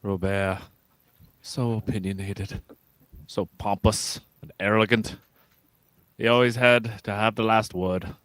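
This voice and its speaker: Dignified ageing captain, sci-fi radio play, male actor. Low, formal, reflective, dry close recording. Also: level tone, takes it slowly, a bit bright, no echo, low-quality recording. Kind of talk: live script read, sci-fi radio theatre.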